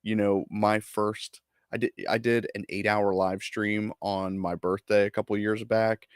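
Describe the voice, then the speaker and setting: A laid-back man co-hosts a live stream with a fairly low voice. His sound is dry and close, with no room around it. He agrees easily and tells stories in an unhurried way. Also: level tone, smooth, no echo. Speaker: co-host. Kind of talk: casual live-stream chat.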